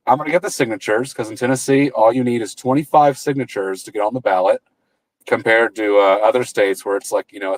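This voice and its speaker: A man's voice: mid-range voice, dry recording, low-quality recording. A man in his thirties, a political candidate talking as a guest in a casual interview, rambling and often restarting his sentences over a video call. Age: 30s. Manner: rambling, with frequent restarts and repeated words.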